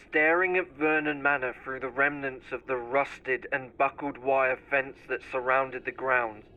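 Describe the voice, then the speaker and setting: A man narrating a scripted first-person horror story in a deliberate, theatrical storytelling manner. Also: medium pitch, dull audio.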